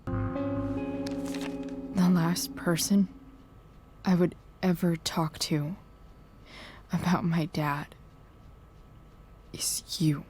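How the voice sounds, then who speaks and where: A woman's voice: on the low side, steady tone, drawn-out words, long pauses, darkish tone, recorded from a distance, soft background music. An actress playing a rebellious, foul-mouthed teenage girl in a video game drama, blunt and sardonic. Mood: bitter, defiant. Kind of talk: naturalistic video game drama acting, muttering to herself.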